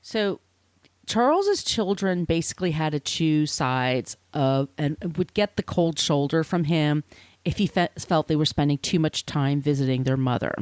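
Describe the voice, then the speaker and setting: A woman hosts a podcast in a casual, storytelling way, swearing freely and dropping in asides. Her voice sits slightly low but swings widely in pitch. The sound is close and dry. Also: darkish tone, no echo. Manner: informal, swearing, conversational asides. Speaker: podcast host.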